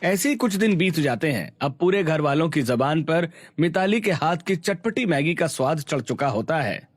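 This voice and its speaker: A man's voice: fairly high pitch, expressive, moderate pace, dry recording. A man giving scripted narration for a family story in storybook style.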